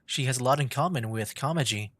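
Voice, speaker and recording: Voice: medium pitch, quick pace, a bit bright, dry recording. A video game streamer commenting casually on a livestream in a loose, rambling way. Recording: game music behind the voice. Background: video game music.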